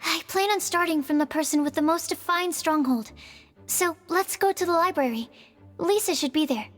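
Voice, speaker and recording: A bookish young alchemist girl, with a very high voice. She sounds earnest, polite and a little hesitant, in anime game style over background music. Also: normal speed, fairly bright tone. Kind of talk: anime fantasy game voice acting.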